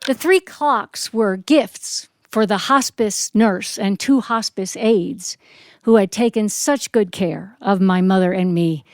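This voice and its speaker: A woman's voice: medium pitch, slow. American woman, storyteller, live spoken performance, mid-range voice, very little room echo. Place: performance studio with audience.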